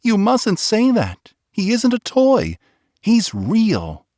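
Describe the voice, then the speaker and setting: A man voicing a small earnest boy with a high, pleading, emphatic voice. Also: wildly swooping pitch, slow pace, darkish tone, no echo, clean studio sound, heavily acted.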